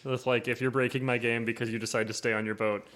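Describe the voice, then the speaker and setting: A man's voice: mid-range voice, level tone, quick pace, a bit bright. A man co-hosting an informal tabletop roleplaying podcast, talking bluntly with dry humour. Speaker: podcast co-host. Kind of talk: casual chat about tabletop roleplaying.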